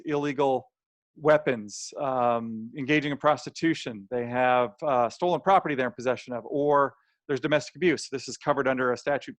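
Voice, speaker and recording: A man's voice: medium pitch, no room sound. An American man presenting a webinar in plain, conversational language, voicing imagined quotes as he explains, with a slightly strained voice. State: slightly strained, throat-clearing voice. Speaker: webinar presenter on housing law.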